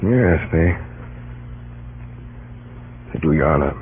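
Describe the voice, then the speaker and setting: An actor playing a grave, humane, weary middle-aged president in an old-time radio drama, speaking in a measured, solemn, plain way with a husky voice. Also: male voice, a bass voice, expressive, slight background noise, full character voice. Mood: gentle, grave. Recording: muffled, tinny old radio recording.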